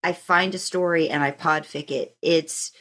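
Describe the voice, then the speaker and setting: A woman's voice: deep voice, steady tone, moderate pace, a bit bright. A woman hosting a podcast in casual chat, rambling a little as she thinks aloud. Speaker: podcast host.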